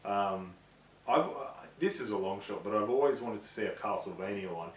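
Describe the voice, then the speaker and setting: A man's voice: lowish voice, animated, normal speed. A man co-hosting a gaming show in casual, informal chat, giving his opinions with enthusiasm. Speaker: co-host of a gaming video show. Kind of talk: casual chat about films and games. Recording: echoey room, distant mic.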